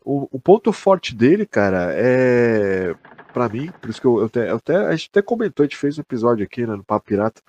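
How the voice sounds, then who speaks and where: A man's voice: medium pitch, big pitch swings, a little fast, darkish tone, muffled recording. A young man with a Brazilian accent, co-hosting a podcast in casual, slangy, sweary talk, often repeating himself. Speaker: podcast co-host. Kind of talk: casual chat.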